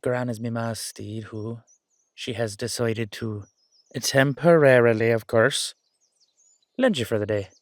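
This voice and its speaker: A man's voice: mid-range voice, a little slow, lightly acted. A man playing a playful, affectionate young-looking Dullahan boyfriend with an Irish accent, rambling and teasing in a roleplay audio. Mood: warm, fond.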